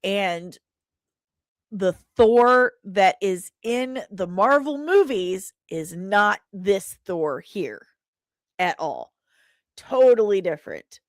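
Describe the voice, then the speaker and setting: A woman hosting a casual livestream, talking in an animated, rambling and self-deprecating way. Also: mid-range voice, takes it slowly, no room sound, clean studio sound. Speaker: livestream host.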